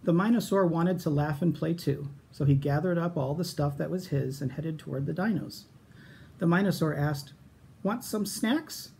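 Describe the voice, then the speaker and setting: A man's voice: fairly high pitch, moderate pace, vocal fry, warm tone, slight background noise. A middle-aged American man reading a picture book expressively to children at a storytime. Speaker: school storytime reader. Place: small room at home, indoors.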